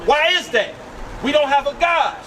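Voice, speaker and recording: A man's voice: very high voice, expressive, moderate pace, a crowd in the background. A man preaching outdoors on a city street, emphatic and commanding, asking rhetorical questions and calling on a reader. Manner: commanding, directs a reader with repeated "read". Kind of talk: street preaching with call-and-response. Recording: distant mic with street noise.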